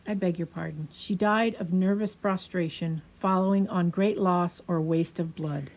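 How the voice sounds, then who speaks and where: A woman's voice: lowish voice, steady tone, moderate pace, a little mellow, close to an everyday voice. A woman playing a troubled, skeptical young male asylum doctor in a radio play, formal and earnest. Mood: anguished. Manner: formal, earnest dramatic delivery.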